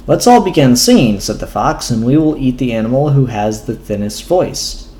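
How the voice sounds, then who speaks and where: A man voicing a sly, hungry female fox in a measured reading of a storybook fable. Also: medium pitch, expressive, mellow, close to an everyday voice. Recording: echoey room.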